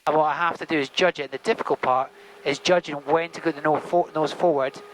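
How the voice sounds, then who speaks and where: A man giving hands-on flying instruction in an informal, step-by-step way, often checking in with a quick okay. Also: on the high side, steady tone, low-quality recording. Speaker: helicopter flight instructor. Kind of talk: hands-on in-flight instruction with casual banter. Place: small helicopter cockpit in flight. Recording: engine noise inside helicopter cockpit.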